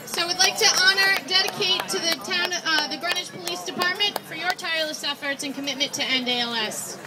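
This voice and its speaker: A woman's voice: extremely high pitch, fairly quick, over loud music. A woman acting as emcee, giving thanks and introducing a speaker at an event. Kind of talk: thank-you speech and introduction. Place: outdoor finish-line event. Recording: noisy outdoor event with crowd. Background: crowd, street noise.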